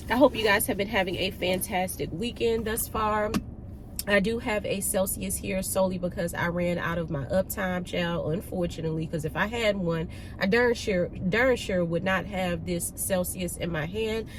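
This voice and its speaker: A woman with a nasal, stuffed-up voice from a cold, chatting informally and slangily to viewers as a vlog host. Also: fairly low pitch. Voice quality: nasal, congested. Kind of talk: casual chat to viewers. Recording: noisy car ambience.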